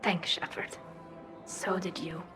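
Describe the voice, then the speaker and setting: A woman's voice: fairly low pitch, steady tone, slow, music in the background. Echoey, reflective young alien woman. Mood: wry, forgiving. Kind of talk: video game voice acting.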